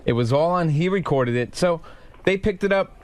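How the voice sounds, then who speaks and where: A man explaining something on an unscripted radio show in a halting, piecemeal way. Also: on the high side, lively intonation.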